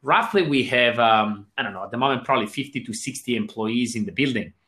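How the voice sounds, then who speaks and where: A man's voice: mid-range voice, expressive, quick pace, bright, forceful tone, reverberant. A man with a Swiss accent answering interview questions as a business coach, earnest and explanatory. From Switzerland.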